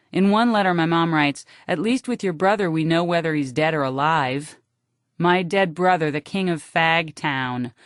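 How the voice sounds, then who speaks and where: A woman's voice: fairly low pitch, no echo. American woman narrating, dry close studio sound. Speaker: audiobook narrator. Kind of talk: scripted literary narration in first person.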